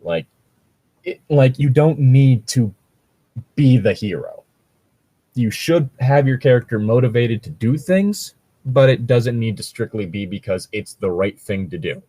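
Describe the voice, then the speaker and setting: A man giving casual, conversational commentary in a halting way, with lots of filler words and some swearing. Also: mid-range voice, animated, warm tone, no echo. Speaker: podcast host reacting to read-aloud Reddit posts.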